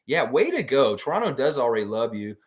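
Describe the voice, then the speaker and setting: A man hosting a casual live stream about basketball, reading viewer comments aloud and reacting with laughs and sudden loud, high bursts. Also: fairly quick. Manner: animated, laughs often, swings loud and high when joking. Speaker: sports podcast host reading live chat.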